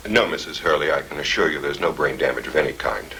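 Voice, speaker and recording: A man's voice: very deep voice, fast, sharp tone, full character voice. A man playing a reassuring but guarded hospital doctor in a naturalistic 1970s TV drama. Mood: guarded reassurance. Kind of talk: naturalistic 1970s TV film acting. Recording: faintly noisy old TV broadcast recording.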